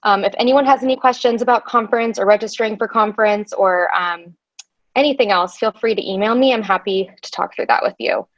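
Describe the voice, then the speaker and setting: A woman's voice: medium pitch, fairly quick, no room sound. A woman presenting a webinar over slides, talking chattily with frequent ums into a computer mic. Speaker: conference organizer and webinar presenter. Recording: dull, dry lo-fi call audio.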